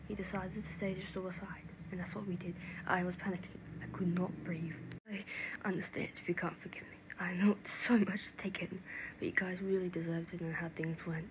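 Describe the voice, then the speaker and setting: A woman's voice: on the low side, little pitch movement, voice pushed down, lightly acted. Guilt-stricken teen boy played by a woman, Australian accent, whispery and subdued, nasal, noisy home-made drama. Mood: remorseful, anguished. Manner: halting, subdued. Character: guilt-ridden, quietly confessing teenage boy. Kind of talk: amateur fan voice acting. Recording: noticeable background noise.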